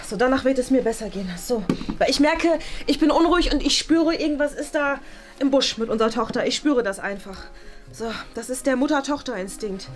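A woman's voice: high voice, natural voice. A young woman playing an anxious, psychic gothic mother in an improvised web series, fretful, theatrical and repeating herself. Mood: anxious, concentrating.

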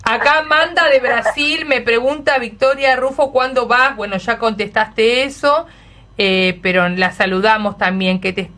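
An Argentine woman hosts a chatty radio interview. Her voice is fairly high and very bright, and she talks effusively, gushing as she goes. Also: a little slow. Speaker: radio host. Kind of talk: lively unscripted radio chat.

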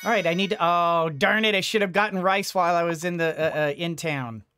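Higher-voiced man muttering through gameplay. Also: a little fast, sharp tone. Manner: thinking aloud, muttering to himself. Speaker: let's play host. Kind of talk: casual gameplay commentary. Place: small indoor home room. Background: game music.

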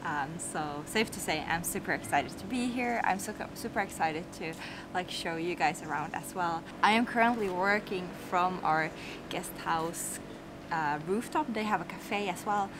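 A woman's voice: fairly low pitch, normal speed. A young woman from Finland chatting casually in a travel vlog, bubbly and full of "like" fillers, talking to a camera held at arm's length outdoors. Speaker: travel vlogger. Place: rooftop terrace outdoors, later busy street. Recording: outdoor traffic noise behind. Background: traffic and street ambience.

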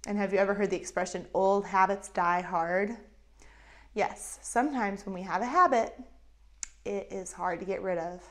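A woman in her thirties with a lapel mic, telling a Bible story to children in an animated way with acted-out voices. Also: medium pitch, moderate pace. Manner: animated, acts out voices of characters. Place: indoors in front of a map backdrop.

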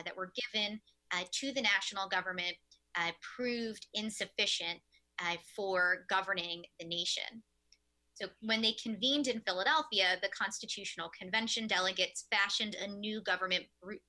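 A young woman speaks into a desk webcam from an office, delivering an earnest prepared talk to a room of teachers. Her voice is sharp and very bright, sitting at an ordinary pitch. She leans on the odd "uh" while working through her notes. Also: rough recording. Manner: earnest, earnest lecturing with fillers. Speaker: keynote speaker. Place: office with window blinds, indoors.

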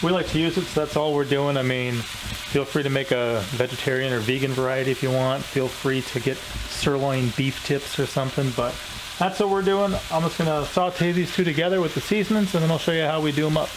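A man's voice: medium pitch, fairly quick, background noise. A man in his thirties, a home cooking vlogger, casually explaining a simple recipe in a small kitchen as he cooks. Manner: relaxed, rambling, explains as he cooks. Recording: clear kitchen sizzle behind voice. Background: pan sizzling and frying.

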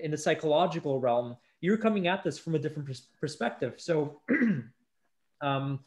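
Higher-pitched man hosting a podcast. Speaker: podcast host. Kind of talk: conversational podcast introduction. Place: indoor room at home, video call.

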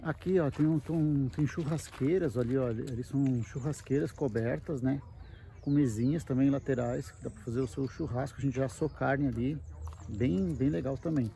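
Male vlogger, creaky relaxed voice, conversational tour-guide delivery, light outdoor noise. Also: mid-range voice, normal speed. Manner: explanatory, conversational with frequent check-in tags. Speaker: camping travel vlogger. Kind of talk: casual walking tour narration. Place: outdoors at a wooded campground. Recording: noisy outdoor handheld recording.

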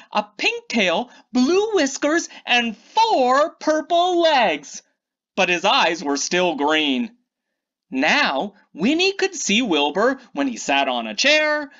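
A woman's voice: fairly low pitch, a bit bright, dull audio. A woman reading a children's picture book aloud, theatrically drawing out key words for emphasis. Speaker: children's storybook reader.

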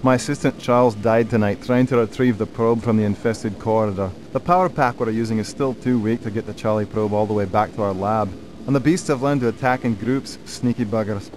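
A man acts out a tired, sorrowful male scientist from a science fiction game. His voice sits somewhat low as he confides a personal log. A faint mechanical hum runs behind him. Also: fairly quick, a little mellow, close to an everyday voice. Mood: weary, explanatory. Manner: confiding, log-style delivery. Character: weary, grieving male scientist in sci-fi game. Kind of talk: video game cutscene acting.

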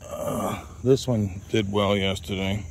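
Gravelly low-voiced man testing radios outdoors. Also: steady tone, slow, slight background noise. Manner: repeats radio test calls and calls out to his dog. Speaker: radio hobbyist host. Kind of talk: casual outdoor radio range test. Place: open grassy field by woods, outdoors.

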